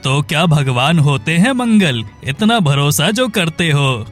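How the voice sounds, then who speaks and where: A man's voice: on the high side, big pitch swings, unhurried, soft background music. A man voicing a taunting, sceptical villager in a cartoon, with a needling, mocking manner.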